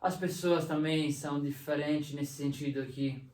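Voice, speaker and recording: A young adult man from Italy speaks Portuguese with a strong Italian accent. He pauses, fills gaps and restarts as he hunts for words. He sounds distant from the mic in an echoey room. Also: a little high, fairly even intonation, darkish tone. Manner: hesitant, many fillers and restarts. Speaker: guest. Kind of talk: casual interview conversation.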